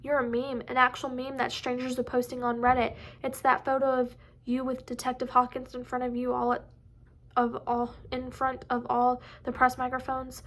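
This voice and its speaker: A chatty, teasing teenage girl, as a woman reads her lines from a novel. The voice is high and fairly level in tone. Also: monotone, normal speed, a bit bright.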